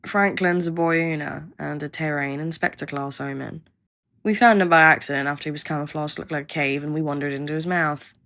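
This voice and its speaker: Actress as jaded young female researcher, low pitch, creaky, blunt, sardonic, grudging, audio roleplay. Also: steady tone, a little fast, fairly bright tone. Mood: fond, matter-of-fact.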